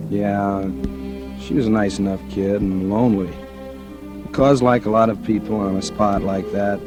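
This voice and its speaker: A man's voice: fairly low pitch, natural voice. An actor playing a cynical, scheming male writer in a 1940s radio drama, talking in a colloquial, calculating way. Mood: cool, calculating. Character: smooth scheming male writer, cynical opportunist courting a dying heiress. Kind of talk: 1940s radio drama acting, first-person noir narration. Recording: noisy vintage radio recording.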